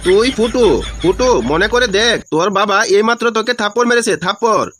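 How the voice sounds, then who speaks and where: A man's voice: sits high, a little fast, noisy background, natural voice. A man voicing a meddlesome, irritable neighbour uncle in a comedy cartoon, scolding and exclaiming. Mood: exasperated.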